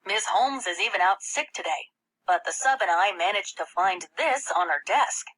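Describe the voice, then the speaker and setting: A woman's voice: medium pitch, lively intonation, moderate pace, a bit bright, poor audio. A woman voicing an eager, self-important seventh-grade boy who takes charge, in a children's audiobook. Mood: proud. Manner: insistent, eager.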